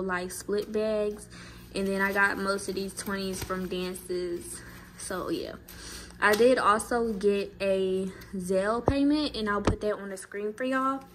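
Chill young woman in her 20s talking to her phone in the kitchen, mid pitch, lots of ums, slight hum behind. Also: steady tone, takes it slowly, a bit bright, rough recording. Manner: laid-back, chatty, lots of fillers. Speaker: vlogger. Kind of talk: casual vlog chat to camera. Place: home kitchen, indoors.

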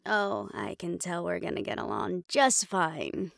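A woman's voice: lowish voice, big pitch swings, unhurried, gravelly, no echo, clean studio sound, full character voice. A woman playing a tough, stubborn female hunter with a wry, defiant, casual manner in an audio roleplay. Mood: dry, watchful.

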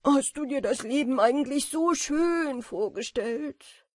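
A woman's voice: high-pitched, a little slow, dry recording, studio quality, pitched above the performer's own voice. A woman reading a story voices a young male moth, just hatched, jubilantly exclaiming as he dances.

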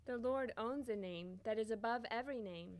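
A woman's voice: a little high, moderate pace. A woman solemnly reading scripted scripture in a readers theater on a church stage. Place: church stage before congregation. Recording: noisy church hall recording.

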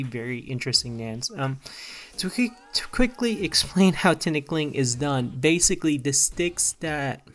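A man in his forties who grew up in the Philippines, casually narrating his own painting timelapse with a relaxed, rambling delivery full of fillers. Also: medium pitch. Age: 40s. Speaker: digital artist.

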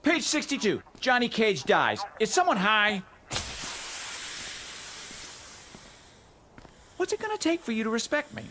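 Cocky movie-star fighter, wildly swinging pitch. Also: male voice, wildly swooping pitch, fairly quick, noisy background, full character voice. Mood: indignant, pleading. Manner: smug, teasing, theatrical. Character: cocky, flirtatious Hollywood action-star fighter, adult man. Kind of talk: video game character dialogue.

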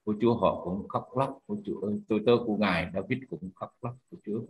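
A man's voice: on the low side, fast, low-quality recording. A man, a pastor, leading a spoken prayer with fervent repeated cries to God between phrases.

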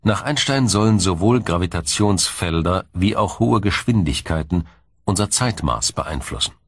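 A man reading scripted documentary narration in a measured, explanatory manner. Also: sits low, animated, slightly rough, dry recording, clean studio sound.

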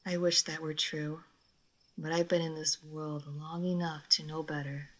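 A woman playing a gentle, melancholy old vampire lady in an intimate roleplay audio drama, speaking softly and formally. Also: sits low, little pitch movement, a little slow. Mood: wistful, reflective. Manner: soft, formal, wistful, sometimes near whispering.

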